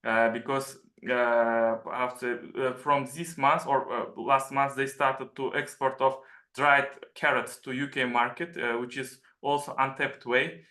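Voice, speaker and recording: A man's voice: mid-range voice, little pitch movement, measured pace, pushed and bright. A man from an embassy giving hesitant formal opening remarks on a webinar call. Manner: hesitant, many fillers. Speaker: embassy official. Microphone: video call. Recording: echoey room.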